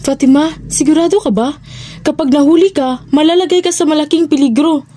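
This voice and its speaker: A woman's voice: high voice, a little fast, warm tone, lightly acted. A woman playing an anxious, determined underground activist, earnest and urgent, in an amateur radio drama. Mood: worried, determined. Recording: faint noise, ambience behind the voice.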